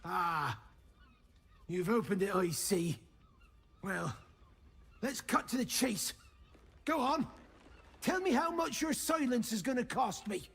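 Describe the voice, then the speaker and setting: An actor with a British accent playing a harried, chatty, shifty postmaster in a video game, grumbling colloquially. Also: male voice, high voice, lively intonation, takes it slowly, long pauses, fairly bright tone, slightly rough, exaggerated voice. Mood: furtive. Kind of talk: video game character acting.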